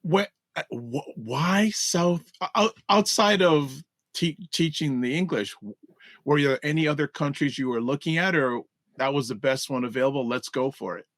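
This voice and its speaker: A man's voice: on the high side, moderate pace, a bit bright, no room sound, studio quality. A man hosting a podcast in a casual, friendly way, often restarting his questions mid-sentence. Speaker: podcast host. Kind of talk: casual interview.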